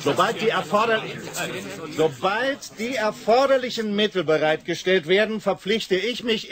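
An actor in a 1980s German radio drama playing an earnest, determined young engineer, measured and declamatory yet matter-of-fact. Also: male voice, high-pitched, a bit bright.

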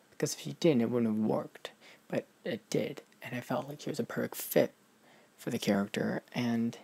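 A man's voice: medium pitch, a little mellow. A man giving a casual film review in a vlog, emphatic and gushing, often restarting his sentences.

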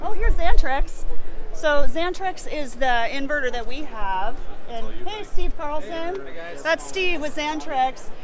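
A woman's voice: high-pitched, animated, slow. A chatty, enthusiastic woman hosting a casual live walkthrough of a trade show. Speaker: vlog host. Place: large indoor trade show hall. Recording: busy exhibition hall, crowd noise.

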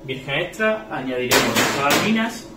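A man's voice: fairly high pitch, lively intonation, normal speed. A man, explaining a recipe step by step as a chef in a small tiled restaurant kitchen, often checking in with his viewers. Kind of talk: cooking demonstration. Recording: echoey kitchen with frying noise. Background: sizzling pan and kitchen ambience.

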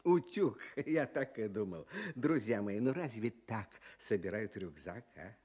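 A man's voice: lowish voice, animated, a little slow, a little mellow, husky. A man playing an amused, chiding mentor in a children's radio comedy sketch, speaking in an instructive, theatrical way and repeating his words. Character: amused, chiding older male mentor in hiking gear. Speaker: radio show presenter.

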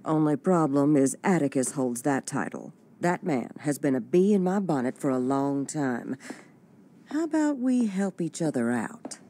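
Low-voiced, shrewd older executive. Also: female voice, very animated, moderate pace, warm tone, slight background noise. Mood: sly, scheming. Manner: composed, folksy, cutting. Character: shrewd, steely older female corporate board executive. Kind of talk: video game cutscene acting.

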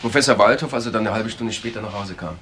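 An actor playing a matter-of-fact police detective in a radio crime drama, speaking in a factual, businesslike way. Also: male voice, lowish voice, fast, fairly bright tone, a little hiss or hum, lightly acted. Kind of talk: naturalistic German radio crime drama acting.

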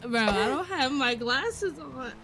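A woman's voice: sits high, lively intonation, slow pace, fairly bright tone, soft background music. A woman playing a roleplay game, talking casually and emphatically in profane, slangy voice chat.

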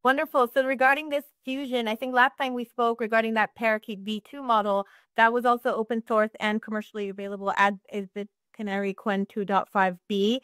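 A woman's voice: fairly high pitch, flat delivery, brisk, few pauses, a bit bright, clean tone, no room sound, clean studio sound. A woman in her thirties hosting a video call interview, reacting eagerly and asking direct questions. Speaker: host, moderator. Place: indoors at home, virtual background. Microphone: webcam or laptop mic on a video call.

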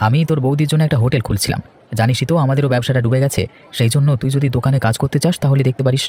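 A man's voice: medium pitch, steady tone, racing, a little mellow, some chatter behind, close to an everyday voice. A man playing an earnest, reassuring village husband in a cartoon, talking casually. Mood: friendly, earnest. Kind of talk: cartoon voice acting.

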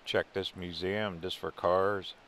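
Slow-talking older man touring a museum. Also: low voice, little pitch movement, a bit bright, a little hiss or hum. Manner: easygoing, wry, chuckling asides. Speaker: RV travel vlogger. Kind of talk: casual walk-through narration. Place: indoor museum buildings.

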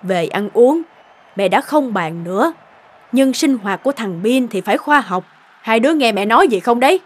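A woman's voice: on the high side, lively intonation, normal speed. A woman voicing a strict, fussy elderly mother-in-law in a cartoon, with a scolding, nagging tone. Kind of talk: cartoon dubbing.

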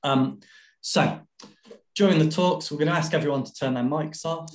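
A man in his forties informally chairing an online seminar over a video call, with hesitant pauses. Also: fairly high pitch, echoey room. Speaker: seminar host.

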